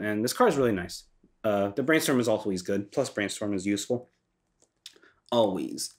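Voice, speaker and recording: A young man with a stuffy, congested voice from a cold, hosting a casual walkthrough and talking loosely with ums and self-corrections. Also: mid-range voice. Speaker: channel host reviewing a card game deck.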